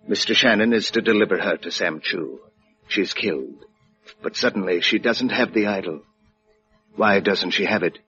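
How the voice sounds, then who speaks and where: Suave, pompous villain, precise diction, low register, vintage radio drama, dull old sound. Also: male voice, normal speed, pushed and bright. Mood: menacing, precise. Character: suave, sinister, pedantic gentleman villain. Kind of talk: 1950s radio drama acting. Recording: muffled old radio recording with music.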